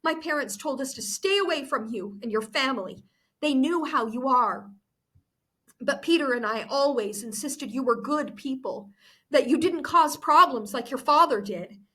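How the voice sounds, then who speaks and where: A woman's voice: high voice, lively intonation, moderate pace, a bit bright, pitched above the performer's own voice. A woman voicing an angry, hurt twelve-year-old girl in a book read-aloud, accusing and emphatic. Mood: bitter, accusing.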